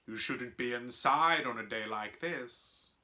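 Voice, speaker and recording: A storybook reader voicing a smooth, menacing male schoolmaster with a deliberate, sneering, threatening way of talking. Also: medium pitch, pushed and bright. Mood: smooth, sly.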